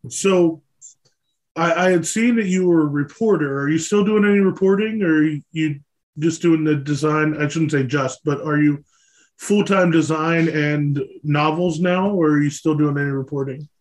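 A man's voice: fairly high pitch, normal speed. An American man from Pennsylvania asking questions as a co-host in a casual interview chat.

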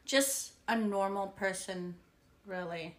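A woman's voice: medium pitch, fairly even intonation. Woman in her thirties, echoey room. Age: 30s. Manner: slow, searching, piles up restarts. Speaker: podcast co-host. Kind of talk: casual chat.

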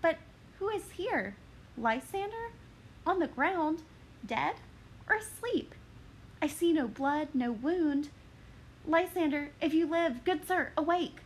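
Pleading lovesick young woman, read by a woman, high urgent voice, slow verse. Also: expressive. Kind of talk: dramatic reading of verse.

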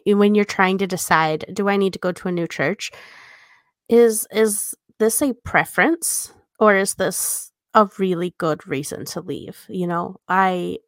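A woman's voice: mid-range voice, no echo, clean studio sound. A woman co-hosting a podcast, talking casually and earnestly as she explains her view and poses questions. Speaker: podcast co-host.

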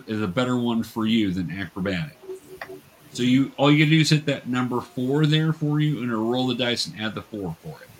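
Man patiently running a tabletop game, noisy recording. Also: medium pitch. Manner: patient, encouraging, explanatory. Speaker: game master teaching young players. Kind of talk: casual tabletop game narration and instruction.

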